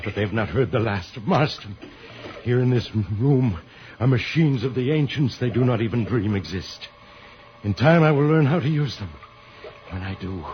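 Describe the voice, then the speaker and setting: A man plays a vengeful villain from an old radio serial, brooding and menacing in a low voice. He speaks in melodrama, over a muffled vintage recording with faint music behind. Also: lightly acted. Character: vengeful, brooding male villain scheming in darkness.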